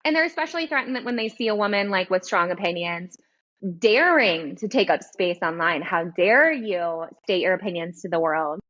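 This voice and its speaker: A woman hosting a casual interview, with a girly voice and a slight lisp, animated and laughing as she talks. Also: on the low side, moderate pace, muffled recording. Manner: animated, laughs while talking. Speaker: podcast host and business coach.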